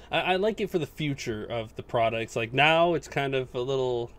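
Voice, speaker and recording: A man's voice: mid-range voice, animated, moderate pace, a bit bright. A man co-hosting a podcast, talking informally in a casual group chat with the odd swear word. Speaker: podcast co-host. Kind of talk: casual panel chat about gaming news.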